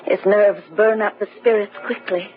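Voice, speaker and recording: A woman's voice: mid-range voice, steady tone, normal speed, close to an everyday voice. An actress playing an anxious, genteel lady in an old-fashioned gothic radio drama, soothing, earnest and melodramatic. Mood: genteel concern. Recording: muffled, noisy radio drama recording.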